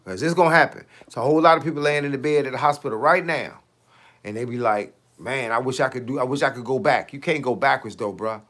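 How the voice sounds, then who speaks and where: A man's voice: medium pitch, quick pace, fairly bright tone. Talkative fifty-something man on a livestream. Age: 50s. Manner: talkative, slangy, rhetorical questions. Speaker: fitness coach and livestream host. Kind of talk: casual livestream chat reading comments.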